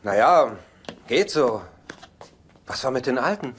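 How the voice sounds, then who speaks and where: An actor playing a sardonic, grumbling bachelor with a dry delivery in a dubbed sitcom. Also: male voice, medium pitch, lively intonation, a little slow, close to an everyday voice. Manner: dry, sarcastic. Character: sardonic, grumbling bachelor reluctantly sharing his house. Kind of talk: German-dubbed sitcom dialogue. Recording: noisy TV soundtrack with laugh track.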